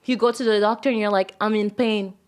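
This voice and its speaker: A woman hosting a casual podcast at a medium pitch. She talks in loose, rambling stretches, scattering "like" through nearly every line as she works her thoughts out aloud. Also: fairly even intonation, quick pace. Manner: loose and rambling, heavy use of "like" fillers. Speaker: podcast host. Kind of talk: casual chat.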